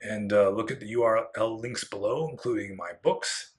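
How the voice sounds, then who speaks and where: A man with a fairly low voice, slightly hoarse, talks through viewer questions alone. He rambles with restarts and fillers, giving blunt opinions in a loose, conversational way. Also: normal speed. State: getting hoarse. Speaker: Buddhist monk answering viewer questions. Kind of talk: unscripted solo question-and-answer talk.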